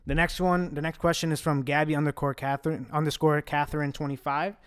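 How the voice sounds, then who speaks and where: A man's voice: on the high side, level tone, fast, clean tone, no room sound, clean studio sound. A young man whose first language is Italian, hosting a casual fan Q&A, chatty and joking, with a slight stutter and restarts. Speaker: host answering fan questions. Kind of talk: casual Q&A chat.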